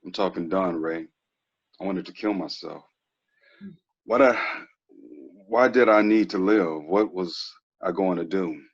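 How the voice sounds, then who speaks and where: An actor reading a frank, laid-back twenties ex-athlete who is quietly troubled, slangy and earnest, in a TV drama table read. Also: male voice, low-pitched, unhurried, pauses often, husky, exaggerated voice. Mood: heavy, confessional. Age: young adult. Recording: dull lo-fi recording.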